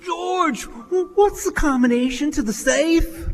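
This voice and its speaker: Squawky, forgetful old uncle. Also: male voice, very high voice, slow, soft background music. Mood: flustered. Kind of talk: stage radio play acting. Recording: hall reverb on a stage.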